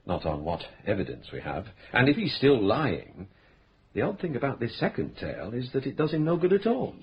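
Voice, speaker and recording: A measured, polite police inspector. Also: male voice, mid-range voice, wildly swooping pitch, muffled recording, a little hiss or hum. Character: calm, patient male police inspector.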